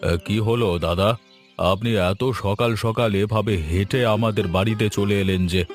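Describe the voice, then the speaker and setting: A man's voice: on the low side, steady tone, normal speed, faint music behind, lightly acted. A man voicing a righteous, helpful village headman in a fairy-tale cartoon, assured and authoritative. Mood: obliging.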